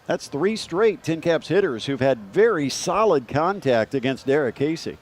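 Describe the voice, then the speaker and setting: A male baseball commentator with an American accent and a somewhat high-set voice. He describes and analyses plays in the style of a live game broadcast. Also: sing-song, normal speed.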